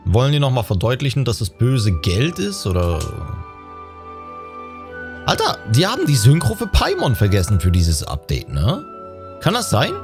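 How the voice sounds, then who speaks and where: Very animated male gaming streamer. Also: medium pitch, moderate pace. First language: German. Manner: slangy, mixing in English words. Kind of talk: casual let's play commentary. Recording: game music clearly behind voice.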